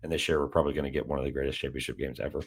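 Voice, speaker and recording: A man hosting a casual sports podcast, his voice a little raspy from days of talking, rambling with many fillers and restarts. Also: rumbling low, little pitch movement, very fast. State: slightly raspy from heavy talking. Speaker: podcast host.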